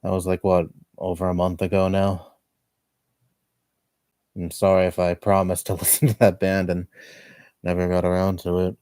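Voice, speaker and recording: A man in his twenties chatting casually on a livestream at home, rambling and laughing softly between thoughts. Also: low-pitched, level tone, normal speed, long pauses, clear, resonant tone, no room sound. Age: 20s. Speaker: livestreamer reading chat. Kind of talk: casual chat.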